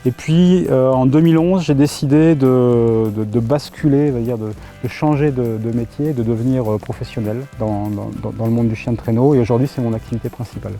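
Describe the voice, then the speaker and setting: A man's voice: medium pitch, fairly quick, hardly any pauses, mellow, soft background music. A middle-aged man being interviewed casually, speaking hesitantly and often repeating his words. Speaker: interviewee, professional sled-dog musher.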